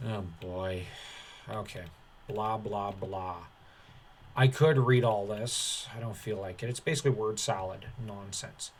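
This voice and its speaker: A man hosting a commentary channel, talking casually and haltingly, with a dismissive, sarcastic streak. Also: lowish voice.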